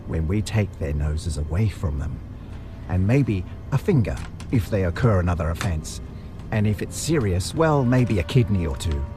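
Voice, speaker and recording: Man acting a deep-voiced, menacingly polite villain butcher. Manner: formal, theatrical, falsely courteous. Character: maniacal butcher crime boss, menacingly polite. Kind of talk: audio drama villain monologue.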